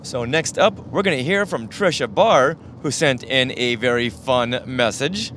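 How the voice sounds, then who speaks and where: A man's voice: mid-range voice, measured pace, heavy background noise. A man hosting a podcast in a casual, chatty co-host style. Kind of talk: casual co-hosted podcast chat.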